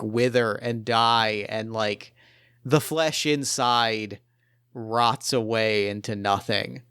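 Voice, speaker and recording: A man's voice: mid-range voice, a bit bright, smooth, no room sound, studio quality. A man hosting as game master, improvising narration and chatting casually about a tabletop roleplaying game. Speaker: podcast host and game master.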